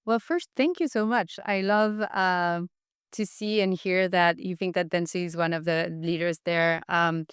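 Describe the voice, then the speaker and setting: A woman answers interview questions in a conversational, explanatory way. Her voice sits slightly low and sounds clean and resonant, with very little room sound. She comes across as an enthusiastic executive guest. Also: a little slow, clear, resonant tone, no echo, clean studio sound. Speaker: podcast guest, marketing executive.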